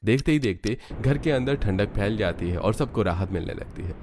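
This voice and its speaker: A low-pitched male narrator reads a scripted cartoon tale. He delivers it as a storyteller between the characters' lines. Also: lively intonation, fairly quick, a little mellow, a little hiss or hum.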